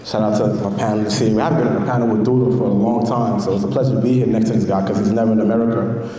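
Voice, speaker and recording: A man from Honduras, raised in the Bronx, introducing himself on a panel in a relaxed, proud way. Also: lowish voice, rapid-fire. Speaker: panel guest, fashion designer. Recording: distant, roomy hall.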